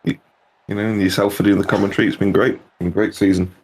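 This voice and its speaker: A man organising a racing league, talking in a halting, good-humoured way about the season. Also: on the low side, level tone, a crowd in the background, poor audio. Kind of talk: casual chat.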